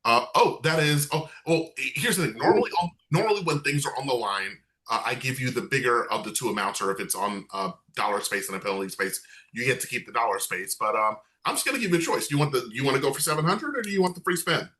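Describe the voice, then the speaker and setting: A man's voice: mid-range voice, expressive, quick pace, hardly any pauses, bright, forceful tone. A man hosting an online game night over a video call, chatty, upbeat and self-deprecating. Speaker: game show host.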